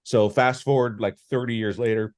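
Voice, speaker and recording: A man's voice: mid-range voice, fairly even intonation, moderate pace, darkish tone. A man co-hosting a podcast, giving a casual, rambling film review with lots of restarts and filler words. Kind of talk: casual chat.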